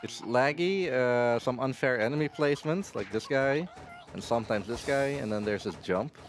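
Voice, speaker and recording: A man giving casual live commentary as he plays a game, halting and distracted. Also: mid-range voice, slight background noise. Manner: halting, distracted while playing. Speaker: speedrunner. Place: live gaming marathon at a hotel event. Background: faint game music.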